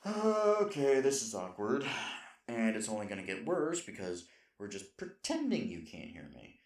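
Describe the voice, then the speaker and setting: A man's voice: on the low side, huge pitch swings, moderate pace. A man playing a sweet, flustered, self-deprecating boyfriend in an intimate roleplay audio, hesitant and rambling as he corrects himself. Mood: nervous, affectionate.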